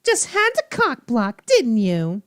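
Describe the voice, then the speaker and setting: A woman's voice: fairly high pitch, wildly swooping pitch, takes it slowly, smooth, no echo, clean studio sound. A woman doing playful, theatrical commentary on a game as a streamer, with a teasing, sassy manner. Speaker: streamer reacting to visual novels. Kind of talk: playful gaming commentary with dialogue read aloud.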